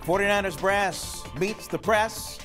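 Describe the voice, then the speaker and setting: A man's voice: high voice, unhurried, music in the background. A man anchoring a television sportscast with a punchy, playful delivery. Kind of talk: lively sportscast, scripted narration. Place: TV news studio.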